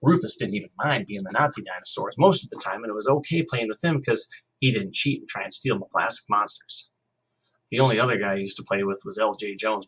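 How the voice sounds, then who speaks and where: A man's voice: lowish voice, fairly bright tone, husky, low-quality recording. A male teacher reading a novel aloud to his class, reading expressively and stopping to ask the students questions.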